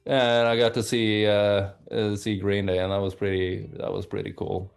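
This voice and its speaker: Low-pitched Norwegian guy chatting casually. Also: little pitch movement, normal speed, gravelly, clear, resonant tone, faint music behind. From Oslo, Norway. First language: bilingual English and Norwegian from childhood. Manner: informal, hesitant, repeats words while thinking. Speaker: interview guest. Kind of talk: casual conversational chat.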